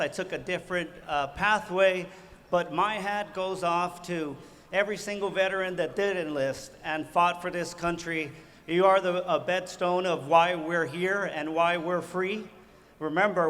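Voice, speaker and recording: A man's voice: on the high side, a bit bright. A man who is a city council member elect giving a short, heartfelt, rambling speech. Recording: reverberant hall.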